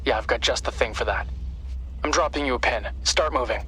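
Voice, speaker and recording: An actor playing a sharp young male hacker ally in a video game, conversational, probing and dry-witted. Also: mid-range voice, a little fast, a little hiss or hum, close to an everyday voice. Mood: focused. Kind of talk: dramatic game cutscene acting. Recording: music behind the voice.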